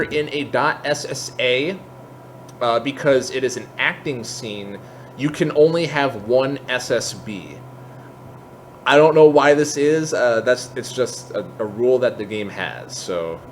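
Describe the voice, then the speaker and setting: A man with a mid-pitched voice walks through a software tutorial in an informal, explanatory way. He drops in conversational asides as he goes. There is noticeable ambient noise behind him. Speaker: tutorial host.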